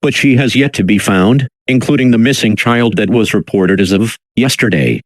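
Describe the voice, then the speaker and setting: A man reading a scripted emergency alert as an announcer, in a measured, official, impersonal manner. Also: fairly low pitch, no echo. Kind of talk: formal scripted emergency broadcast.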